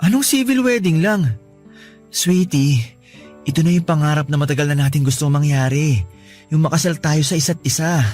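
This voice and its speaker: An actor with a Filipino accent playing an earnest, devoted groom-to-be in a radio drama. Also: male voice, fairly high pitch, normal speed, long pauses, a little mellow, faint music behind, natural voice. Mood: playful, affectionate. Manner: affectionate, earnest.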